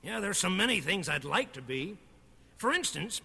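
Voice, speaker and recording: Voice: medium pitch, big pitch swings, moderate pace, vocal fry. A performer voicing an eager, wide-eyed, folksy young boy puppet on a 1960s children's record. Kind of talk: children's puppet record, scripted skits and songs.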